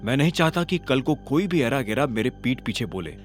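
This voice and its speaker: A proud young fighter, voiced by a man in an audio drama. He is calm and determined, speaking firmly and evenly, with music playing underneath. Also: medium pitch, close to an everyday voice. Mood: resolute. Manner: measured, firm.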